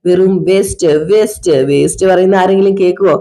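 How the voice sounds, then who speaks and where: Drunk, sulking husband, played by a woman: slow sarcastic drawl, mellow dark voice. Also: medium pitch, steady tone, natural voice. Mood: scolding. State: drunk (as acted). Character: drunk, bitter middle-aged husband, self-pitying. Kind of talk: one-person domestic drama skit.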